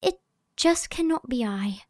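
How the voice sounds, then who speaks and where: A woman playing a sweet, excitable young Victorian lady who speaks politely and effusively in an audio drama. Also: high voice, unhurried, dry recording, studio quality. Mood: flustered, apologetic. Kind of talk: audio drama letter narration and dialogue.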